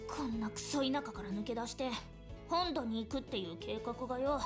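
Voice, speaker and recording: A woman playing a rude, rebellious young mayor's daughter in an anime visual novel, talking in brash, rough slang. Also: fairly high pitch, rapid-fire, lightly acted. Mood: angry. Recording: game voice under loud music.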